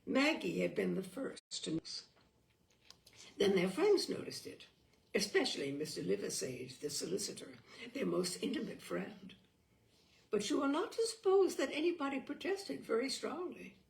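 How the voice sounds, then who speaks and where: A woman reading a literary short story aloud in an expressive, measured narrating style. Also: fairly high pitch, creaky. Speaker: story reader.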